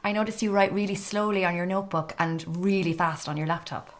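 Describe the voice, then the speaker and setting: A woman's voice: fairly low pitch, steady tone, fairly quick, lightly acted. An actress playing a timid, eager woman in her twenties in a radio drama, hesitant and apologetic. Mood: confiding, nervous. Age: 20s. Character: timid, eager young Irish woman, aspiring writer.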